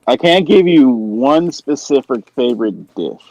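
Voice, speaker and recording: A man's voice: medium pitch, lively intonation, measured pace, darkish tone, no room sound. An American man chatting casually on a podcast, rambling and searching for words, in a slightly muffled, dry recording. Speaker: podcast participant. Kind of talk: casual chat.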